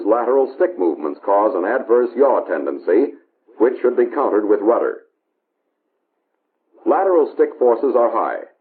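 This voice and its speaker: An American man narrating a 1950s instructional film in a measured, authoritative, instructional manner, on an old film soundtrack. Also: lowish voice. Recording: tinny band-limited 1950s film soundtrack, hiss.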